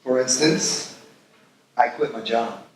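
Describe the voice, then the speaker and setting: A man acts out an earnest, lovestruck thirtysomething who gushes about his new life, read live on stage. The voice sits at a middling pitch, recorded from a distance in a very echoey room with some background noise. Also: slow, a little mellow, lightly acted. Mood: excited. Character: smitten man in his thirties, self-absorbed, giddy about new romance. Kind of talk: live screenplay table read. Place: live festival stage reading in a large room. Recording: echoey hall, distant mic, noisy.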